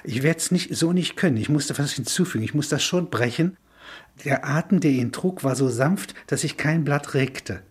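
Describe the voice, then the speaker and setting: A man in reflective intellectual conversation, musing in halting phrases punctuated with small affirming tags. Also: a little high, breathy edge. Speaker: interviewee, filmmaker.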